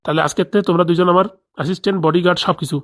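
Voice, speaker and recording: A woman's voice: lowish voice, steady tone, very fast, lightly acted. A woman voicing a crooked, bossy male village boss in a comedy cartoon, scheming aloud with a rural Bengali accent.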